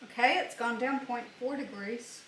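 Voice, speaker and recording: A woman with a fairly high, bright voice chats and banters casually in a home vlog. She sounds slightly off the mic in a roomy indoor space. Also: moderate pace, far from the mic, a little hiss or hum. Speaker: co-host of a home unboxing vlog. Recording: roomy echo, off the mic, faint music.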